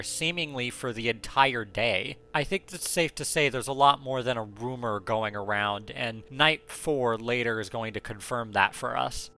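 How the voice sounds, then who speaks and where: A man's voice: medium pitch, pushed and bright. A man giving scripted, suspenseful narration as a video essayist. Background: background music.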